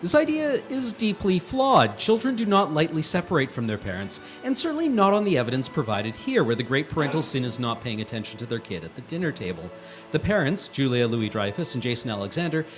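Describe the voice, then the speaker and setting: Animated man reading a scathing review. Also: mid-range voice. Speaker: guest reader on a charity livestream. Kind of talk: dramatic reading of a written film review.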